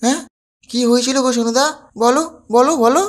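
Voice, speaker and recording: A woman's voice: high-pitched, a little fast, lightly acted. A woman voicing a gullible, hard-drinking husband in a cartoon comedy. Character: hapless husband, tipsy and gullible. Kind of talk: cartoon voice acting.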